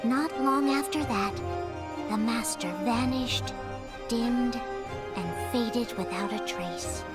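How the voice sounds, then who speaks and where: A woman narrating a game's legend as a solemn, gentle storyteller with a measured, scripted delivery. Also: on the high side, loud music behind the voice.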